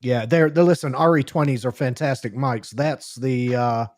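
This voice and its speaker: A man's voice: medium pitch, steady tone, moderate pace, darkish tone, no room sound. Guy hosting a live show, knows his stuff, repeats himself a bit, dry close sound. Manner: knowledgeable, repeats words as he talks. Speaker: show host. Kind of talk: casual chat on a live show.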